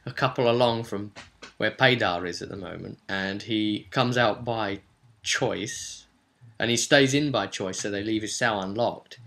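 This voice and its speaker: Bright, forceful man telling a story. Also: fairly low pitch, creaky. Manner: chatty, halting, acts out short bits of dialogue. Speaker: game master recapping his tabletop role-playing session. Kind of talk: casual, rambling storytelling.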